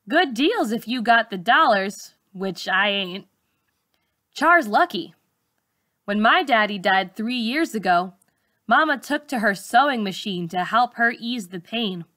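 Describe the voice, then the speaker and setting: A woman teacher reading a first-person story aloud to schoolchildren in an expressive, colloquial storytelling manner. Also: medium pitch, no echo, clean studio sound.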